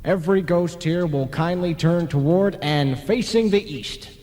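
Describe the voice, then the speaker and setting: An actor playing a brash, wily ghost lawyer, theatrical and self-assured, in an old-style radio play. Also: male voice, on the high side, measured pace, a little mellow, close to an everyday voice. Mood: urgent. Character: brash, wily male ghost lawyer, newly dead, cocksure. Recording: long hall reverb.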